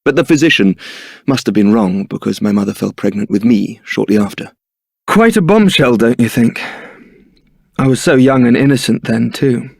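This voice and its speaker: An actor playing a young templar knight in a video game, suave, wry and brooding, with a theatrical, articulate delivery. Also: male voice, mid-range voice, expressive, moderate pace, vocal fry, a little mellow, dry recording, studio quality. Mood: wry, bitter. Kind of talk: video game voice acting, dramatic scripted dialogue.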